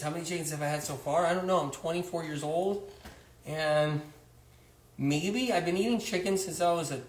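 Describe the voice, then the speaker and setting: Oblivious young chicken-eater , as a man puts him on, high voice, rambling and incredulous, echoey room. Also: natural voice. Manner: rambling, incredulous, repeats himself.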